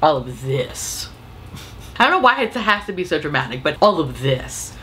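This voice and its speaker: A young woman with a slightly raspy voice from a cold, chatting playfully and profanely while trying on makeup at home. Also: sits low, sing-song, moderate pace, pushed and bright, slight background noise. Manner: playful, profane, self-mocking. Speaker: beauty vlogger. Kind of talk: casual makeup vlog chat.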